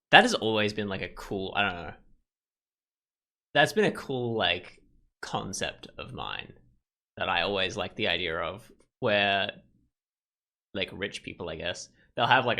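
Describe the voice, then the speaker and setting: A man's voice: fairly low pitch, animated, normal speed, vocal fry, pauses often, bright, forceful tone. A young man hosting a casual let's play, reading game text aloud and musing informally over it. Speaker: gaming video host.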